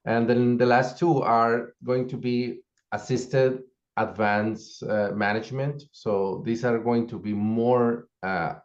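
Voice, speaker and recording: A man's voice: medium pitch, takes it slowly. A man who is a course instructor, steadily explaining and listing the parts of a course over a video call. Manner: steady, explanatory, listing items one after another. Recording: dull lo-fi call audio.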